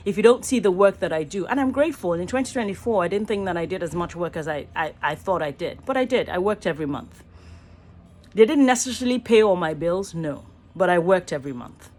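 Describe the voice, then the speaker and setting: A woman's voice: lowish voice, animated, fairly quick, a little mellow. A middle-aged Nigerian woman, an actor and voice artist, musing in a rambling, earnest selfie vlog from a small room, often stopping to rephrase herself. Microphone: phone at arm's length.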